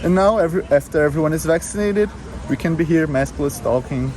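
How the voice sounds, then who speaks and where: A man's voice: fairly high pitch, brisk. Man, outdoor street noise. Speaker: voter interviewed on the street.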